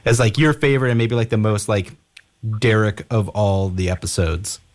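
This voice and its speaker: A man hosting a radio show in a casual, informal way, polite and somewhat halting as he talks. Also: lowish voice.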